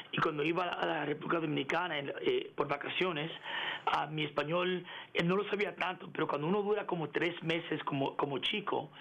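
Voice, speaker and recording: A middle-aged man in a radio interview, speaking haltingly with many fillers and restarts and slipping into English words. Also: fairly high pitch, gravelly, fairly bright tone, highs cut off. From United States. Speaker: interview guest, engineering executive. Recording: radio broadcast.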